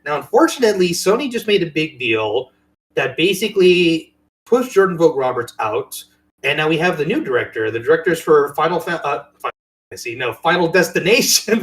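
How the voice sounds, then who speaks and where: A man's voice: fairly high pitch, a bit bright. A man hosting a casual podcast chat about games and movies, with a playful, jokey way of talking. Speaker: podcast host.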